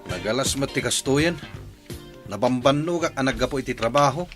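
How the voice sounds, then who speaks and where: A man's voice: mid-range voice, lively intonation, fairly quick, music in the background, close to an everyday voice. A man acting a grumbling, demanding village husband and father in a radio drama, with an Ilocano accent. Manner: complaining, insistent.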